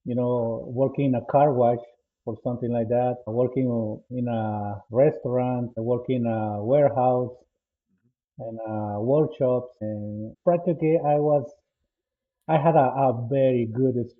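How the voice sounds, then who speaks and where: A man in his forties from El Salvador with a Salvadoran Spanish accent, speaking English as a second language. He talks haltingly as a podcast guest, often restarting and repeating words. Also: mid-range voice, fairly even intonation. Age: 40s. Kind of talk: casual interview answers. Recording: tinny phone-line audio.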